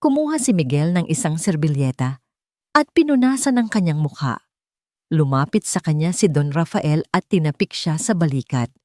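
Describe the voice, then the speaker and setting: A woman's voice: low-pitched, animated, normal speed, warm tone, no room sound. A woman reading a scripted dramatic audiobook as the story's narrator.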